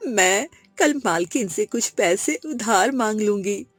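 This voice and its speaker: Woman as a husky, tender poor mother. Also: mid-range voice, expressive, measured pace, dry recording. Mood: tearful, resolved. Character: poor, loving, worried mother of a schoolboy. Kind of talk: Hindi cartoon moral story acting.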